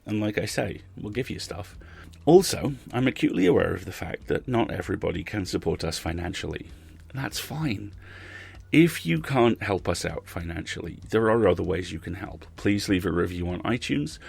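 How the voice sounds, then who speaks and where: A man's voice: low-pitched, lively intonation, moderate pace, gravelly, darkish tone, husky. A man hosting a podcast, speaking conversationally to listeners with emphatic phrasing. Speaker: podcast host. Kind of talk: podcast outro with donation appeal.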